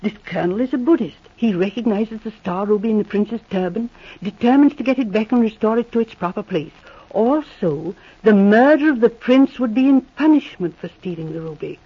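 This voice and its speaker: A woman's voice: mid-range voice, animated, brisk, husky. An actress playing an eager, romantic young woman amateur sleuth who insists on her theories, in 1940s radio acting. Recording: dull lo-fi old radio broadcast.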